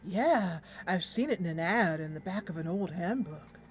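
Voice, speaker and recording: A woman's voice: fairly low pitch, lively intonation, moderate pace, slight background noise, natural voice. A woman voicing a conspiracy-minded, stubborn teenage schoolboy in a visual novel game, emphatic and melodramatic. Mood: insistent.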